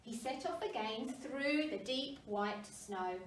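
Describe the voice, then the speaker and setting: A woman reading a children's storybook aloud with expressive narration, as a primary school story reader. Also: on the high side, takes it slowly. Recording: roomy, distant mic, faint noise.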